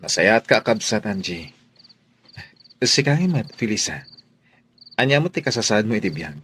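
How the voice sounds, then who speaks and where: Man acting a husky, animated household boss. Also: on the low side, moderate pace, exaggerated voice. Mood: sympathetic, curious. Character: friendly, inquisitive male employer chatting with maids. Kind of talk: radio drama acting.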